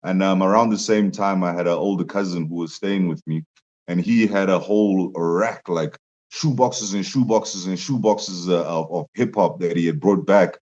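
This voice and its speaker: A young man with a very low, deep voice tells his story in a relaxed interview. He gets animated, often laughing and lifting his voice for quoted lines. The sound is dull and lo-fi. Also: moderate pace. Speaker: musician guest.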